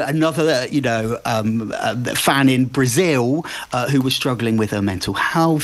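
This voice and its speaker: A man who works as a broadcaster and podcaster, appearing as a guest and chatting enthusiastically about cultural picks, with many fillers. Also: mid-range voice. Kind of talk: cultural recommendations chat.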